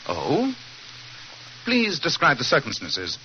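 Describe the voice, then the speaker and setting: An actor playing a brilliant English detective, self-assured, formal and dryly witty, with a British accent, in 1940s radio mystery style. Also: male voice, mid-range voice, huge pitch swings, slow pace, bright, forceful tone, full character voice. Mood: inquiring. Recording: muffled, noisy 1940s radio recording.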